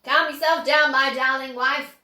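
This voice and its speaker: A woman's voice: high-pitched, steady tone, moderate pace, pushed and bright. A woman voicing an emphatic, cautious old grandfather in a children's storybook. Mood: soothing. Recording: roomy, echoing indoor sound.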